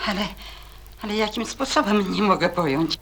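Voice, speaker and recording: An actress playing a kindly, flustered elderly lady in a radio play, husky and hesitant. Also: medium pitch, moderate pace. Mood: bewildered. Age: older. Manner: hesitant, bewildered.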